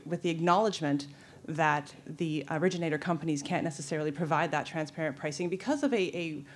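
Low-voiced woman at a conference forum. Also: dark tone. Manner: measured, persuasive. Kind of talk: audience floor comment. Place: large conference hall.

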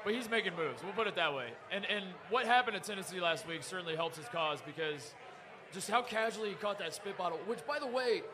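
A man's voice: high voice, brisk. A man in his thirties co-hosting a casual sports chat, laid-back and joking, repeating his punchlines. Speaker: co-host. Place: crowded bar, indoors. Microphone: handheld mic. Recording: live bar crowd and noise behind.

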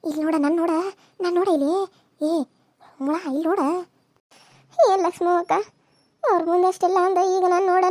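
Shrill, quick-talking rural woman, pleading and wailing , played by a woman. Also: a little mellow, no echo, studio quality, close to an everyday voice. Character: frightened village women pleading with a bully.

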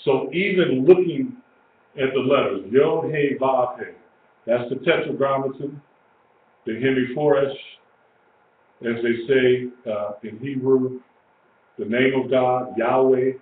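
A man's voice: mid-range voice, slow. An older man in his sixties teaches scripture informally to a small group. He is emphatic and restates his phrases. The sound is thin and narrow, with room echo and faint noise behind him. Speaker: religious teacher. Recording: echoey, tinny lo-fi webcam stream with hiss.

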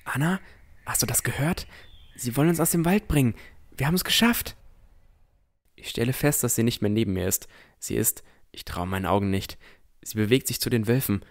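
A man's voice: medium pitch, brisk, lightly acted. A man playing a cautious, easily amazed boy who narrates his own adventure in a scripted fantasy audio drama. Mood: excited.

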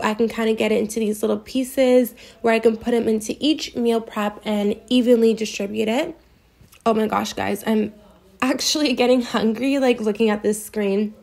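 A woman's voice: on the high side, a little mellow. A young woman from the US East Coast, chatty and gushing, narrating a casual meal prep voice-over in her home kitchen. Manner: chatty and gushing, heavy use of "like". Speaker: vlogger.

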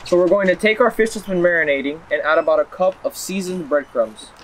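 Young male outdoor fishing host, high voice, enthusiastic and casual, noisy location sound. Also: a little fast. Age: young adult. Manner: enthusiastic, repetitive, addresses viewers as guys. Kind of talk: casual how-to fishing vlog. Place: outdoors, on a small boat and at a dock. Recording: noisy outdoor recording, boat and wind ambience.

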